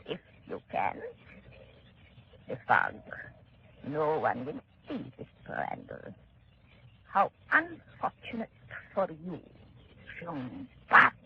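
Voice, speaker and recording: Sinister madame, old radio. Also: female voice, sits low, wildly swooping pitch, slow pace, long pauses, exaggerated voice. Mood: menacing. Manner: formal, theatrical. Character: sinister foreign madame, falsely frail, coldly vengeful. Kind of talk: 1930s radio acting. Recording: dull, noisy 1930s radio recording.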